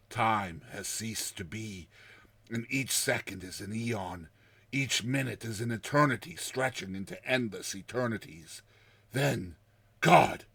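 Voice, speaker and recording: Man acting out a terror-gripped storyteller, swinging from hush to outburst. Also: lowish voice, creaky, slightly rough, full character voice. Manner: explosive outbursts, hushed tense whispers, dramatic pauses. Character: terrified man recounting his nightmare of a lurking giant serpent. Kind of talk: dramatized storytelling.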